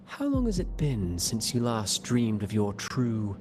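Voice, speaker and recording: A man's voice: on the low side, huge pitch swings, unhurried. A man acting a cunning, mocking playwright in a video game cutscene, theatrical, courtly and insinuating in manner. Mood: cryptic, teasing. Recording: loud music behind. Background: music.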